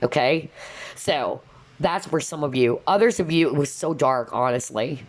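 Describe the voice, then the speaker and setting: A woman's voice: low voice, normal speed. A woman giving a casual tarot reading to viewers, chatty and full of asides, her voice slightly nasal as she loses it. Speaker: tarot reader.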